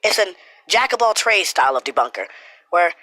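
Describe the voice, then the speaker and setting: A man's voice: high-pitched, very animated, brisk, sharp tone, no echo. A man with a cold and a stuffy nose, rambling through a casual commentary with lots of swearing and filler words. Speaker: YouTuber commentator.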